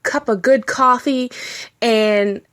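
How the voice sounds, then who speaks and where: A woman's voice: a little high, steady tone, slow, fairly bright tone. A woman hosting her own internet radio show, talking casually with a congested, nasal voice from a cold and rambling with many ums and restarts. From Washington, D.C. area. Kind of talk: casual solo radio talk.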